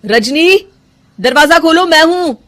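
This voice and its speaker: A woman's voice: very high-pitched, expressive, unhurried, a bit bright, dry recording, pitched above the performer's own voice, exaggerated voice. A woman voicing an earnest young husband in a cartoon story, caring and confused, with a questioning, pleading manner. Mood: upset, urgent.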